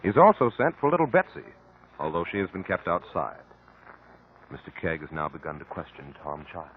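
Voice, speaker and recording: A man's voice: sits low, sing-song, a little fast, a little hiss or hum. A man announcing and narrating for 1950s radio in a formal, dramatic, scripted style with emphatic phrasing. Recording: thin lo-fi 1950 radio broadcast.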